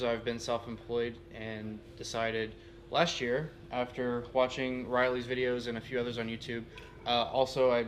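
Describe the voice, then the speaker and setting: A man's voice: mid-range voice, a little hiss or hum. A man from Texas introducing himself as a guest, in a modest, hesitant way. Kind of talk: casual self-introduction.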